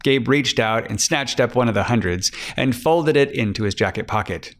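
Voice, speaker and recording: A man's voice: mid-range voice, normal speed. A man giving scripted narration for a crime fiction audiobook.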